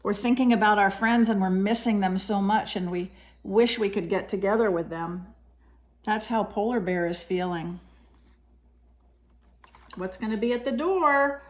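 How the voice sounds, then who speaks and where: A woman reading a picture book aloud as a storyteller, animated and pausing to explain things and put questions to young listeners. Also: medium pitch. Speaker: storyteller, teacher reading to children.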